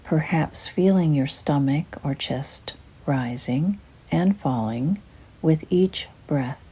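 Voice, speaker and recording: An older woman with a soft, breathy, husky voice, gently and soothingly narrating a guided meditation in an unhurried way. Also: sits low.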